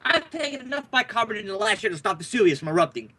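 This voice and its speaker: A man's voice: high voice, sing-song, rapid-fire, pushed and bright, heavily acted. A man playing an exasperated, grumbling police lieutenant, sarcastic and blustery, in an old-time radio detective drama.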